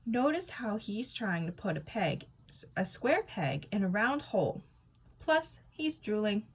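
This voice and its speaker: A woman's voice: medium pitch, sing-song, a little slow, breathy edge, exaggerated voice. A woman reading a storybook aloud and playing a teasing, smart-mouthed sixth-grade boy. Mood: mocking. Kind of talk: children's book read-aloud.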